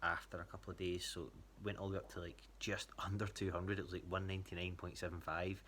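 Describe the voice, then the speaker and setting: Scottish male, low voice, informal and halting check-in style, noisy recording. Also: little pitch movement, fairly bright tone. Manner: hesitant, rambling. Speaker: athlete giving a training check-in to a coach. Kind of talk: casual spoken update.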